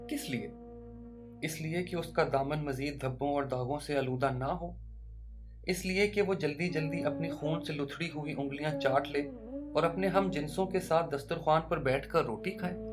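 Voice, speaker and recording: A narrator reading a literary short story in a measured, dramatic way, with rhetorical questions and deliberate pauses. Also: mid-range voice. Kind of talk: literary audiobook narration, scripted first-person short story. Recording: music bed under the narration. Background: instrumental music.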